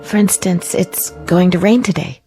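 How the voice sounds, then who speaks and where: An actress playing a gentle, faithful woman survivor who speaks softly and hesitantly in a radio drama. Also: on the low side, normal speed, close to an everyday voice. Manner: soft, hesitant, quietly hopeful. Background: music score.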